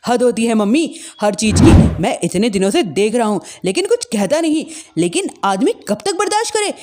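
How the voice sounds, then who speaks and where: A woman's voice: a little high, very animated, normal speed, warm tone. A woman voicing an exasperated elder brother-in-law who complains firmly and is plainly fed up.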